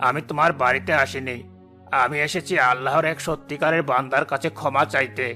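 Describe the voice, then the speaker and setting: A man voicing a wealthy, humbled man in a cartoon moral drama, solemn, emphatic and confessional, with a gravelly voice. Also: mid-range voice, fairly even intonation, brisk, pushed and bright, soft background music, natural voice. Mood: remorseful. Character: wealthy proud man, now humbled and remorseful.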